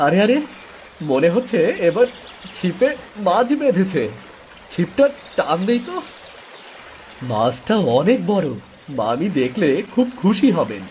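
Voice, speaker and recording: A man playing a meek, kind-hearted village boy in an animated moral story, earnest and plaintive. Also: high-pitched, unhurried, pauses often, a little hiss or hum. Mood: hopeful.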